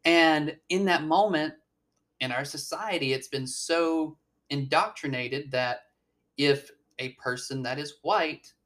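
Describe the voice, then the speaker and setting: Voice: mid-range voice, takes it slowly, fairly bright tone. A speaker in a candid conversation, earnest and searching, often restarting sentences.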